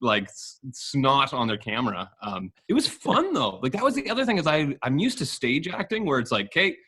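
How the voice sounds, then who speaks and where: A man's voice: medium pitch, huge pitch swings, a little fast, bright, forceful tone, slightly rough. Rambling young man, casual. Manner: rambling, self-deprecating, lots of fillers. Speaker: actor in the ad. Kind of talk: casual chat.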